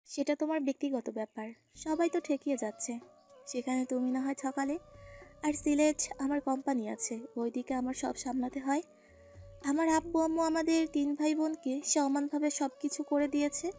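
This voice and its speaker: A woman's voice: sits high, fairly even intonation, normal speed, dark tone, close to an everyday voice. A woman playing an independent businesswoman in an audio story. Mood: matter-of-fact. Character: independent businesswoman speaking plainly of her affairs. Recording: music under the voice.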